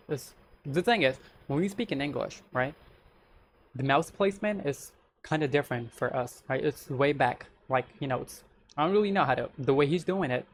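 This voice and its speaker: A man's voice: mid-range voice, slight background noise. Young Indian man chatting. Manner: rambling, repeats "so the thing is". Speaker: YouTuber reacting to a video. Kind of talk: casual reaction commentary.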